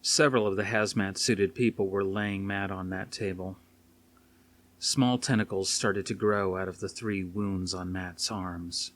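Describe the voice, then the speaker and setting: A man's voice: low voice, fairly even intonation, unhurried. A man reading aloud as an audiobook narrator, in a dramatic scripted style. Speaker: audiobook reader. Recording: faint noise behind the reading.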